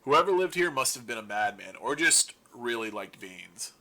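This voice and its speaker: A man's voice: mid-range voice, sing-song, moderate pace, heavy background noise. A man playing a wry survivor of a zombie apocalypse in an audio drama, rambling to his listeners in a casual, sarcastic, self-deprecating radio monologue. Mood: resigned, wry.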